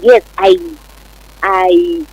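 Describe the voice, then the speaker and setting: A young woman giving personal testimony as an interview guest, speaking haltingly and politely. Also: no room sound, low-quality recording. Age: young adult.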